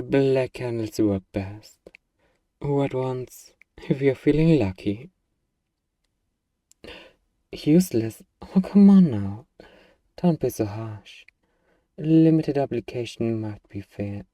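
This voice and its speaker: A man voicing an eager, friendly, bumbling little demon in an intimate, playful ASMR roleplay, hesitant and coaxing with drawn-out hums. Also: mid-range voice, warm tone, no echo. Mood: mildly defensive.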